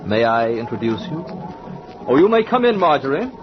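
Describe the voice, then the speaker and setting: An actor playing a secretive, unsettling husband who speaks with cool, smooth formality in a 1940s radio drama. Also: male voice, medium pitch, very animated, moderate pace, close to an everyday voice. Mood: coolly menacing. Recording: dull noisy old radio recording.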